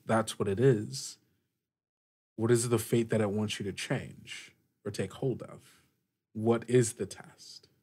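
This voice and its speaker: Slow, deliberate man running a tabletop game. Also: fairly low pitch, darkish tone. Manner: halting, pausing mid-phrase, deliberate. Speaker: game master. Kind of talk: narrating a tabletop roleplaying game.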